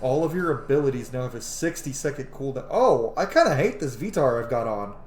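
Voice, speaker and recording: A man giving casual, animated gameplay commentary as a streamer, rambling into asides and swearing freely. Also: mid-range voice, fairly quick, reverberant. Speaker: game streamer on own channel. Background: game music.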